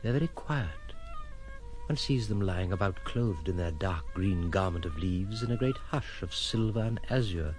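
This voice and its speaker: An actor playing a courteous, reserved Swedish wanderer in an audio drama, speaking in a measured, lyrical, reflective way. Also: male voice, low-pitched. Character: Axel Heist, dreamy, reserved, courteous Swedish male wanderer.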